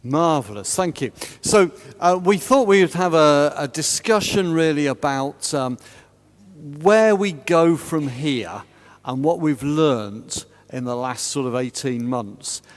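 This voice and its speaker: A man with a big, booming voice chairing a panel discussion, chatty and self-deprecating. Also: animated, takes it slowly. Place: large parish church. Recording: long reverb of a big church.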